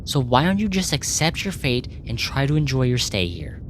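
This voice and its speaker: A woman's voice: sing-song, normal speed. A woman playing a curious, earnest alien researcher in an ASMR roleplay, speaking formally and clinically, puzzled by human feelings. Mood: exasperated. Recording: noisy with low rumble.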